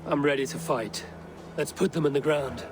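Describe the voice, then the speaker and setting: An actor playing a stoic, steadfast Viking raider hero in a video game, speaking in a measured, plain, firm way. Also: male voice, on the high side, over music, lightly acted. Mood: steady. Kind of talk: dramatic video game cutscene acting. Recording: game audio with faint background noise.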